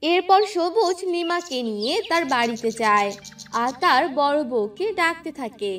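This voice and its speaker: High-voiced woman storyteller. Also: animated, unhurried, a bit bright, distant mic, soft background music. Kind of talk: scripted cartoon fairy-tale narration.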